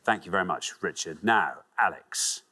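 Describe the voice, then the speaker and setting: A man's voice: expressive, moderate pace, noisy background. A man hosting a TV quiz show, upbeat and encouraging, reading out questions and scores. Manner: upbeat, encouraging, big swings of pitch. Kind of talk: lively game show hosting. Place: television studio with audience.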